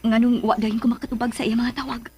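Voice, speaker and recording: A woman's voice: fairly high pitch, flat delivery, fast, darkish tone, breathy edge. A woman with a Cebuano accent playing an anxious, protective middle-aged mother in love in a radio drama. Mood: worried.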